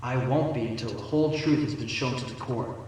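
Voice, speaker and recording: A man's voice: mid-range voice, fairly quick, very noisy, lightly acted. A man playing a confident, combative young defense lawyer in an audio drama, speaking with emphatic courtroom theatrics. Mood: determined. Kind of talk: video game style audio drama acting. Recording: roomy amateur recording under loud music.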